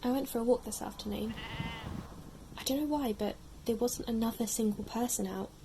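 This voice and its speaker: A woman acting a solitary, calm diarist in a reflective monologue. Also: a little high, normal speed, gravelly, noisy background. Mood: wistful, calm. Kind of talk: acted reflective diary monologue.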